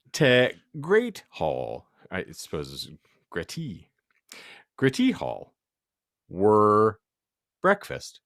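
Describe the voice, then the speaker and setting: A man's voice: mid-range voice, no echo, studio quality. A man hosting a podcast, reading fan fiction theatrically for comedy and exaggerating oddly spelled words. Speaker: podcast host and narrator.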